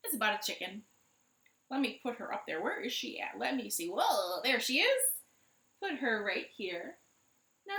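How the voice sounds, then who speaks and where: A woman's voice: on the high side, pushed and bright. A woman hosting a children's storytime, telling stories playfully and putting questions to her young listeners, recorded in a living room. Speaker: library storytime host. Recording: roomy home echo.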